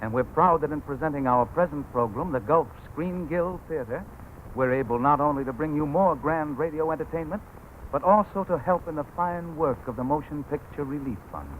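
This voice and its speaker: A man hosting a 1940s radio drama, giving a formal, smooth scripted introduction. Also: a little high, moderate pace. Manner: smooth formal announcer delivery. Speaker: radio host. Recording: tinny old 1940s radio broadcast, faint hiss.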